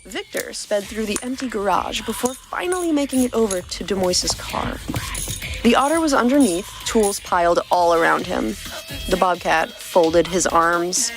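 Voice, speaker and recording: A woman's voice: mid-range voice, moderate pace. Woman narrating fiction over music. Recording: music behind the voice. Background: background music.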